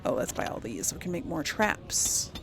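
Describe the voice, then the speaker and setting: A woman's voice: low-pitched, sing-song, normal speed, noisy background. A woman hosting a casual gaming let's play, thinking aloud in a hesitant, conversational way. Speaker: gaming video host.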